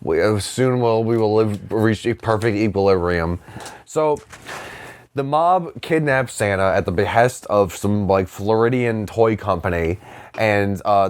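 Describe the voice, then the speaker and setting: A man co-hosting a podcast, casually recapping films in a rambling, comedic way full of filler words and swearing. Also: lowish voice. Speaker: podcast co-host.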